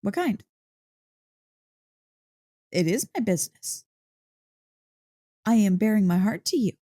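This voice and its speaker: A woman voicing an excitable, affectionate dog-girl girlfriend in an intimate ASMR roleplay, playful and easily flustered. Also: medium pitch, slow pace, dry recording, studio quality, exaggerated voice. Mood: excited, curious. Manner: playful, expressive, mock-indignant teasing. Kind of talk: ASMR roleplay, intimate one-sided dialogue. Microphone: close, dry microphone.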